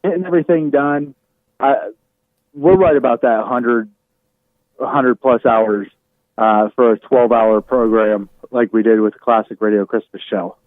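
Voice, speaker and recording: A man's voice: medium pitch, moderate pace, dry recording. A man who hosts online radio, chatting casually as an interview guest over a remote call. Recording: muffled narrow-band remote call sound.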